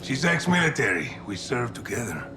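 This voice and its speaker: A man's voice: mid-range voice, very animated, normal speed, over music. A man playing a hot-blooded, indignant Mexican army colonel in a video game, blunt and fiery, with a Mexican accent and bursts of Spanish. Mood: bitter, accusing. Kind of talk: cinematic video game acting.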